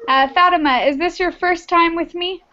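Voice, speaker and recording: High-voiced patient woman teacher. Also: steady tone, moderate pace, bright, forceful tone. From Texas, United States. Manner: friendly, patient, encouraging. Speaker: English teacher. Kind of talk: online class small talk. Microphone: video call. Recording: muffled video-call audio.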